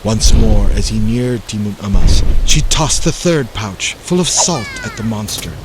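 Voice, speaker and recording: A man narrating a scripted folktale in a dramatic, expressive storytelling manner. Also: fairly low pitch, unhurried, noisy background. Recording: loud music behind the voice. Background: music.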